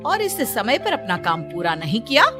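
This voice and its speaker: A woman's voice: a little high, sing-song, moderate pace. Woman playing a haughty, domineering mother-in-law over music. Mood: stern, scolding. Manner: haughty, bossy. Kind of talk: Hindi cartoon story dialogue. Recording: music behind the voice.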